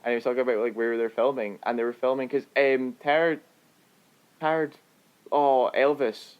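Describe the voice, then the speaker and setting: A man's voice: medium pitch, fairly even intonation, moderate pace, clear, resonant tone, muffled recording. A man chatting casually as co-host of a film discussion podcast. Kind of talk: casual panel chat about films.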